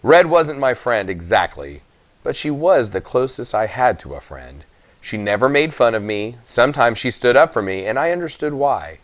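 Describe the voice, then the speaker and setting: A man reading a first-person children's story aloud with expressive narration. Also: medium pitch, moderate pace, no room sound. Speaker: audiobook reader.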